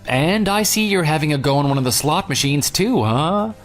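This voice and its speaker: An actor playing a vengeful jilted ex-fiancé in an audio drama, with a sarcastic, taunting, smug delivery. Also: male voice, on the high side, lively intonation, normal speed, soft background music, close to an everyday voice. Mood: mocking. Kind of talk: dramatized phone dialogue.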